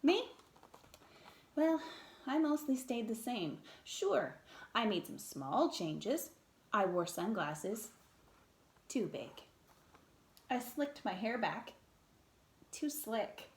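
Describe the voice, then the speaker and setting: A woman's voice: a little high, big pitch swings, takes it slowly, long pauses, reverberant, exaggerated voice. A woman voicing a self-deprecating, awkward young male bean, wistful and rueful, from a children's storybook.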